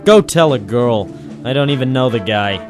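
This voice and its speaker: An actor playing a cynical, wisecracking waterfront operator in a 1940s radio noir drama, deadpan and terse. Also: male voice, mid-range voice, moderate pace, over music, close to an everyday voice. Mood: sardonic, tough. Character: cynical hard-boiled waterfront private operator, male, wisecracking. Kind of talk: 1940s radio noir first-person narration and dialogue. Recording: 1940s radio broadcast recording.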